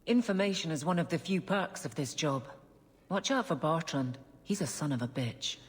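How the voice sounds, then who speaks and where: Stern female guard captain, gruff and blunt, lowish voice, long reverb, fantasy game dialogue. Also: lively intonation, moderate pace. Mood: brisk. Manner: gruff, matter-of-fact. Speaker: city guard officer.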